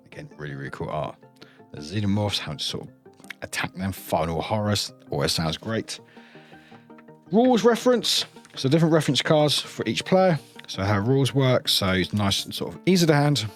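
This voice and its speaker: A man presenting an unboxing in a casual, chatty way, often trailing into short informal asides. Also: fairly low pitch. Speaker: reviewer hosting an unboxing channel.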